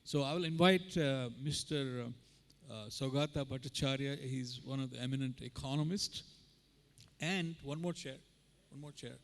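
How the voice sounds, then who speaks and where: A man moderates a conference panel, introducing speakers and posing questions. He stops and restarts often, with plenty of fillers. A long hall reverb rings around his medium-pitched voice. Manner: halting, many fillers and restarts.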